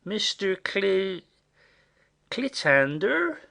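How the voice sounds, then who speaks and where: Stage comedy audioplay: male actor as gullible rustic go-between, very animated, slow and halting, forever confiding secrets he should keep. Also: high-pitched, creaky, exaggerated voice. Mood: chatty, pleased. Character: simple, indiscreet rustic male messenger, conspiratorial and chatty.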